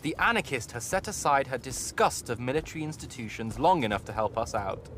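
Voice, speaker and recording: A man's voice: medium pitch, expressive, brisk, a bit bright, a little hiss or hum, close to an everyday voice. An actor playing a witty, haughty male military mage in a video game, arch, wordy and sarcastic. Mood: arch, teasing. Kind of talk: video game cutscene acting.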